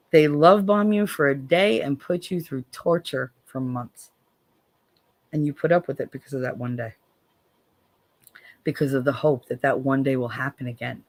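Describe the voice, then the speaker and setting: An American woman hosting a casual livestream, reading viewer comments and talking bluntly, with profanity and sarcastic jabs. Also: no room sound. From United States. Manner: blunt and profane, swings from low weary tones to sharp sarcastic jabs. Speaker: livestream host.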